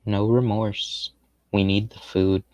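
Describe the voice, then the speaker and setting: A man with a lowish voice drifts through relaxed gameplay commentary in a fairly monotone, rambling way, gently poking fun at himself. The sound is close and dry, with no room around it. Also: slow pace, no echo. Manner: rambling, laid-back, self-deprecating. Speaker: game streamer.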